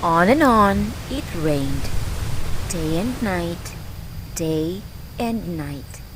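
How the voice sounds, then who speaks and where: A woman teacher narrating a children's Bible story in a slow, deliberate and expressive way. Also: fairly low pitch, heavy background noise. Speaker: teacher reading stories for children. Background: soft background music.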